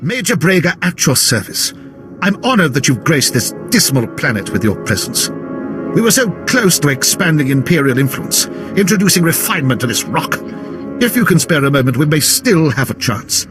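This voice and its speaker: An actor playing a pompous, fawning, fussy military officer in a video game, with florid delivery. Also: male voice, on the high side, very animated, normal speed. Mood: flattering, indignant. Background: loud music.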